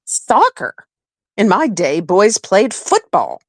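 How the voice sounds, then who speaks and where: A woman's voice: mid-range voice, wildly swooping pitch, no room sound, clean studio sound, full character voice. A woman playing a nagging, old-fashioned, disapproving grandmother in an audio play, scolding in manner. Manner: scolding, slow and dramatic.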